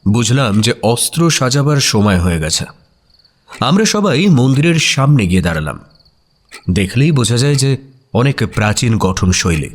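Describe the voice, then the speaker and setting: An actor playing a detective's observant companion, narrating a mystery as a scripted audio story with expressive, suspenseful delivery. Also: male voice, mid-range voice, normal speed.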